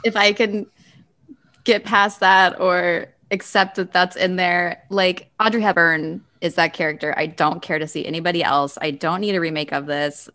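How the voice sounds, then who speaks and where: A woman's voice: fairly low pitch, fairly even intonation, moderate pace, bright, forceful tone. A woman hosting a podcast, talking in a casual, conversational style. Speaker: podcast host. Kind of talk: casual chat.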